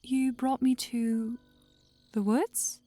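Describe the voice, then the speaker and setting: A woman playing an eager, curious young fantasy princess in an audio drama, expressive and slightly hesitant. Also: fairly high pitch, very slow. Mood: distracted, puzzled. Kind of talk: fan audio drama acting.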